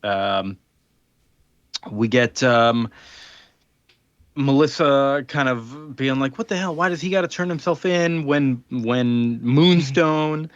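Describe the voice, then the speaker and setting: A man's voice: mid-range voice, takes it slowly, no echo, muffled recording. A man hosting a podcast, recapping in casual chat and loosely acting out dialogue in an informal way. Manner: informal, acts out characters' dialogue in loose paraphrase. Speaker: podcast host.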